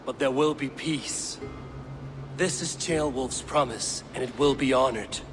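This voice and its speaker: An actor playing a stern, dry-witted Viking warrior in a video game, speaking in a measured, deadpan, commanding way. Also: male voice, mid-range voice, over music, natural voice. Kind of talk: video game cutscene acting.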